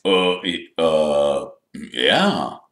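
Man as a blunt schoolboy with lively pitch. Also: very slow, echoey room, exaggerated voice. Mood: blunt, eager. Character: blunt, excitable young wizard schoolboy.

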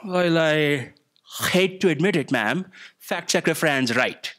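Man acting flustered, hesitant, animated older assistant. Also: on the high side, slow, full character voice. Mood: reluctant. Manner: hesitant, stammering. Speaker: stage actor. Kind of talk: staged audio drama acting. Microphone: stand microphone on stage.

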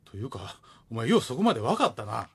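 A man acts as a middle-aged showbiz dad in a comic radio drama. He has a Kansai accent and blunt, joking delivery with animated pitch swings. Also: quick pace, creaky, breathy edge, exaggerated voice. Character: gruff, joking widowed showbiz father.

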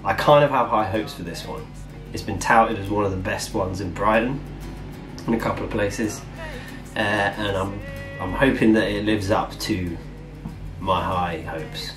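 A man's voice: lowish voice, steady tone, measured pace, over music, rough recording. A man in his twenties talking casually to camera as a vlogger, in a small room. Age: 20s. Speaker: vlogger and musician. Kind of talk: casual vlog talk.

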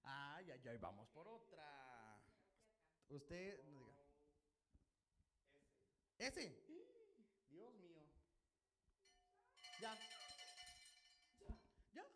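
A man's voice: fairly high pitch, big pitch swings, very slow, long pauses, sharp tone, soft background music. A man with a Mexican accent hosting a game show in a small studio, joking and teasing the guests. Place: small studio set, indoors. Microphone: handheld mic.

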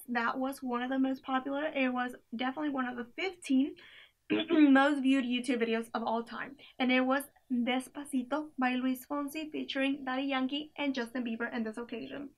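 A young woman in a small indoor room, chatting casually about songs in a playlist, full of fillers and giggly asides. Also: high-pitched. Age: young adult. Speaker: playlist reviewer. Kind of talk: casual chat.

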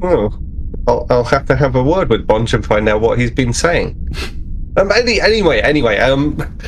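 Casting: man as eager male ensign, middle-pitched, stammering, boastful, for sci-fi tabletop play; slightly noisy recording. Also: animated, normal speed, natural voice. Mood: defensive, awkward. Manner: stammering, repeating words as he goes. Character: eager, boastful but awkward young male starship ensign. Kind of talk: improvised tabletop roleplay.

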